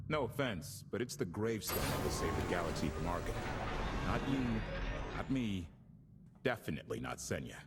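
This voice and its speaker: Passionate, sardonic starship tinkerer for a game, mid-pitched and highly animated, music underneath. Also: male voice, normal speed, big-room sound. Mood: earnest. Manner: sardonic, expressive. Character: exasperated, passionate male starship pilot and tinkerer. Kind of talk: video game cutscene acting.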